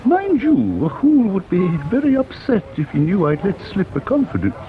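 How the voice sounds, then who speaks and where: Gossipy, animated English newspaperman. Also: sits high, normal speed. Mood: wry, confiding. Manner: confiding, jocular, colloquial. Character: chatty, gossipy male newspaperman in a provincial town. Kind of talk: old-time radio drama acting. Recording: dull old radio recording, busy background chatter.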